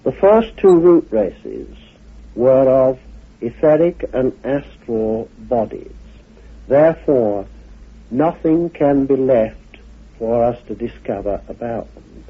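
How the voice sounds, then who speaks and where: Slow, animated male lecturer on tinny radio. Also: mid-range voice, husky. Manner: deliberate, pausing between short phrases. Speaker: guest speaker on occult teachings. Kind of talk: measured expository lecture. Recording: narrow, tinny old radio recording.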